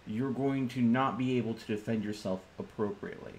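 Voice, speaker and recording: Casting: male tabletop player, average pitch, conversational in-character delivery with light banter, slight noise behind. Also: a little fast, low-quality recording. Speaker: player in a tabletop roleplaying stream.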